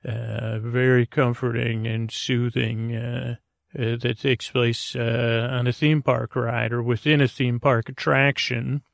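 A man with a creaky, gravel-edged voice rambles through a bedtime podcast monologue. He talks hesitantly, circling and wandering from one tangent to the next. The sound is close and dry. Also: medium pitch, little pitch movement, slow pace, no echo. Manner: meandering, hesitant, trailing off into tangents. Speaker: podcast host.

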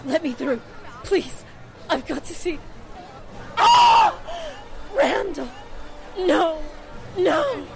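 Actress as a high, slow, anguished widow. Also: female voice, huge pitch swings, vocal fry, a loud crowd, heavy background noise, full character voice. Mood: anguished grief. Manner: melodramatic, anguished, drawn-out delivery. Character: grief-stricken widow, a former silent film star. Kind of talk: 1940s radio drama acting.

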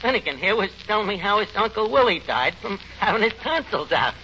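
A man's voice: high-pitched, big pitch swings, fairly quick, sharp tone, full character voice. A man playing an anxious, blustering tavern manager with a New York accent and slangy bad grammar in a 1940s radio comedy. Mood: defensive bluster. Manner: malapropisms, slangy ungrammatical bluster. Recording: muffled, noisy 1940s radio recording.

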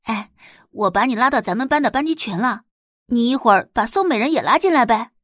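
Lady doing a chatty high school girl, eager and friendly, lively pitch swings. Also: a little fast, no room sound, studio quality. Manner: perky, eager. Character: chatty, friendly, baby-faced teenage schoolgirl.

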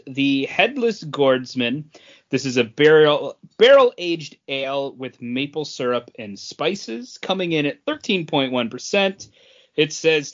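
A man talking casually as a podcast co-host, halting often and pausing between short phrases. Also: fairly high pitch, fairly bright tone, muffled recording. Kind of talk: casual chat, informal beer tasting.

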